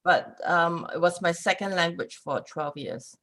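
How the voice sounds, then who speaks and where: A woman's voice: lowish voice, a little slow, fairly bright tone. A woman student from Singapore answering her teacher briefly and politely on a video call.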